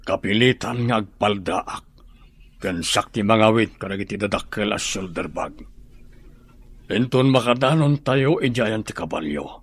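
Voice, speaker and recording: A man's voice: fairly low pitch, creaky, breathy edge, heavily acted. A man acting a watchful, scheming man who urges and explains in a radio drama. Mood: planning. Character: scheming, watchful adult man giving instructions.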